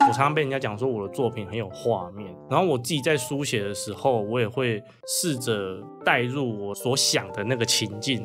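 Male guest, Taiwanese Mandarin, average pitch, telling things in a conversational way with music underneath. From Kaohsiung, Taiwan. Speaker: guest author. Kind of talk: conversational interview answers.

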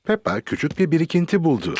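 Lively male children's cartoon narrator. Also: fairly high pitch, fast, slight background noise. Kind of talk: scripted children's storytelling narration.

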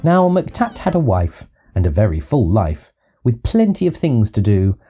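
A man's voice: on the low side, big pitch swings, a little slow, warm tone, faint music behind. A man narrating a children's audiobook, reading rhyming verse rhythmically and expressively. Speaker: storyteller reading to young children.

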